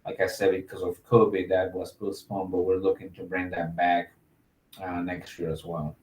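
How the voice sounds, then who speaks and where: A man's voice: deep voice, little pitch movement, normal speed, a little mellow, roomy sound, a little hiss or hum. A man from Mexico, an admissions officer, answering interview questions in a casual, upbeat way with many fillers.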